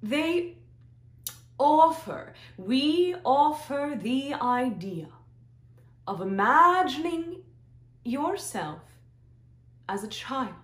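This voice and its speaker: A woman voicing a benevolent, serene extraterrestrial guide in a guided meditation, with deliberate, hypnotic, repetitive phrasing and long pauses. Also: sits high, sing-song, full character voice. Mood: gently excited. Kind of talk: slow channeled guided meditation. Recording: roomy echo, soft music behind.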